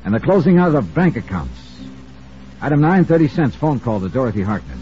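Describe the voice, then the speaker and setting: An American actor playing a wry, tough insurance investigator, cool and pressing, in an old-time radio detective drama. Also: male voice, mid-range voice, big pitch swings, fairly quick, warm tone, soft background music, lightly acted. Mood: wry narrating. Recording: muffled, noisy old radio recording.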